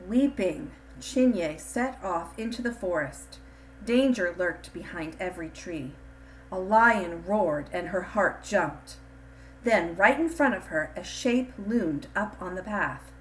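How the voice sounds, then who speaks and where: A woman reading a scripted children's storybook in an expressive, deliberate storytelling manner. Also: on the low side, slight background noise, rough recording. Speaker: storybook reader.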